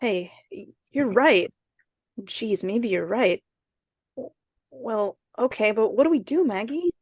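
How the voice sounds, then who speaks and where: A woman's voice: a little high, lively intonation, slow pace, darkish tone, slightly rough, dry recording, studio quality, full character voice. A woman playing a reluctant, skittish young boy in an old-time radio suspense play. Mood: unsure.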